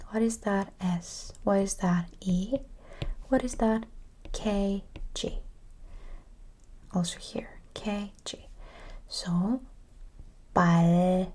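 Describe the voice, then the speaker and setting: A woman teaching a language in a breathy ASMR whisper, encouraging and repeating words syllable by syllable. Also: lowish voice, dark tone.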